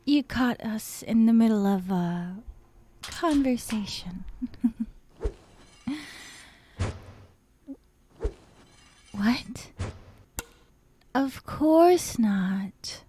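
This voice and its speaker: A woman voicing an obsessive, sadistic young yandere captor in a soft, breathy ASMR roleplay, half-whispering with sweet menace. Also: fairly high pitch, lively intonation, slight background noise. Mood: sweetly sinister. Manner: slow, breathy half-whispers broken by giggles. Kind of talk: ASMR roleplay, intimate dramatic monologue.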